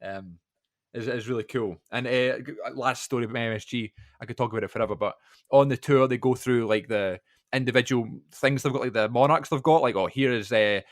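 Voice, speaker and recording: A man co-hosting a podcast, telling an excited story in casual chat. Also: mid-range voice, no echo, clean studio sound. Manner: fast, animated storytelling. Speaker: podcast co-host.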